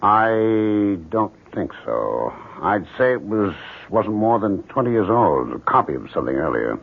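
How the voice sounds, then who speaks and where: A muffled old radio recording of an opinionated painter. The voice is low and gravelly and swings very widely in pitch, self-assured and insistent, in 1970s radio drama style. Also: male voice, very animated, measured pace, full character voice.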